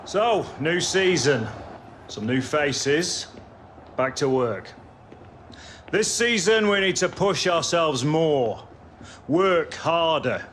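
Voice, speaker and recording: A man's voice: fairly high pitch, lively intonation, slow, long pauses, a bit bright, a little hiss or hum. An actor playing a driven, demanding male football coach giving motivational team talks in a video game. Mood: rousing, stern. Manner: motivational, exhorting. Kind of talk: video game cutscene acting, team talk.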